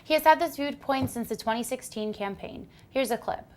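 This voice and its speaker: A woman's voice: high-pitched, a little fast, a little hiss or hum. Woman reading a news script. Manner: reads facts and figures from a script. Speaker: student news presenter.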